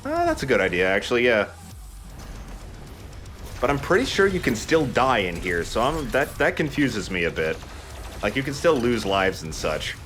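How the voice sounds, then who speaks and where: A man's voice: medium pitch, huge pitch swings, normal speed, long pauses, fairly bright tone, slight background noise. A man giving casual commentary over video game footage as a let's play commentator.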